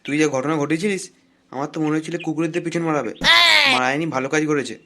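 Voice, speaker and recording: Man voicing fast, grumbling cartoon big brother. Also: on the high side, a bit bright, natural voice. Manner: scolding, foul-mouthed, complaining banter. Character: crude, bossy married village club elder brother, grumbling and scolding. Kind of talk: comic cartoon dialogue.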